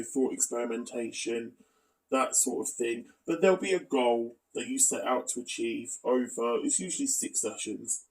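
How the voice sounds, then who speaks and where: Guy doing critical explainer commentary, gravelly creaky voice, sounds a bit away from the mic. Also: medium pitch, a little mellow, far from the mic, low-quality recording. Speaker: video commentator.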